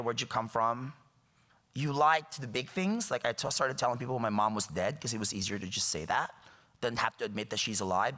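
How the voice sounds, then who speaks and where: A man's voice: medium pitch, sharp tone. A man in his thirties from the Philippines, giving a public talk in a wry, joking and emphatic way. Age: 30s. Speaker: guest speaker. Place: lecture hall. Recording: reverberant lecture hall.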